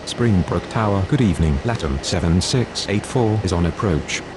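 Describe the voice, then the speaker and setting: A man's voice: low-pitched, moderate pace, noisy background, close to an everyday voice. A man playing a pilot and controller in a game, speaking formal, clipped aviation phraseology. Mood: formal, procedural. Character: male airline pilot and controller on radio, procedural. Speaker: pilot and air traffic controller radio voice. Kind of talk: scripted aviation radio phraseology.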